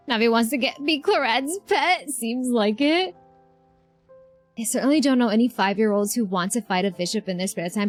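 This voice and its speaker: A woman streamer with a fairly high voice reacts casually to a game. She chats and thinks out loud in a loose, informal way. Soft game music sits behind her. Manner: chatty, thinking aloud.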